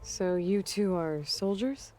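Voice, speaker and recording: A woman's voice: medium pitch, animated, slow, darkish tone. An actress playing a capable young female adventurer in a video game, speaking in a direct, understated, questioning way. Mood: curious. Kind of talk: video game cutscene acting. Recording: music behind the dialogue.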